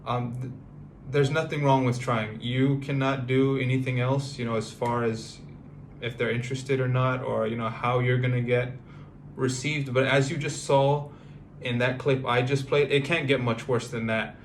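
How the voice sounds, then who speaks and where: Halting, flat-voiced man in his thirties. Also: medium pitch, a little hiss or hum. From United States. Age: 30s. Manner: halting, reflective, many fillers and restarts. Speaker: vlogger. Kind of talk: personal vlog monologue.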